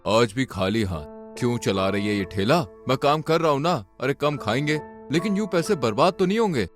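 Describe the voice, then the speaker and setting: A man's voice: mid-range voice, animated, normal speed, soft background music, lightly acted. A man voicing a weary, plain-spoken poor labourer husband in a cartoon story. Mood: reproving.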